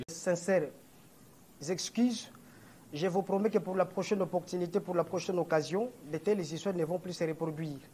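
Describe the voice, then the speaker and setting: A man's voice: fairly high pitch, a little mellow, a little hiss or hum. Earnest Congolese man addressing the press. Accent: Congolese French. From DR Congo, Kongo Central. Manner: earnest, often correcting and repeating himself. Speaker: political movement leader. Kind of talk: press conference statement.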